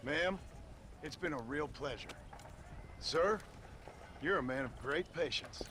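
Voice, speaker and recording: Weary gunslinger, American Western accent, dry sarcasm, raised pitch, game cutscene, noisy ambience. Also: male voice, lively intonation, takes it slowly. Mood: dry, polite. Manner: dry, sardonic. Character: rugged, weary, sardonic gunslinger cowboy.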